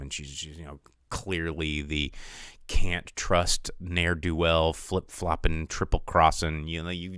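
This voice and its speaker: A man's voice: low-pitched, a bit bright, clean tone, dry recording, studio quality. A man in his forties hosting a casual solo movie livestream, rambling and joking with a sarcastic streak. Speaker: movie review show host.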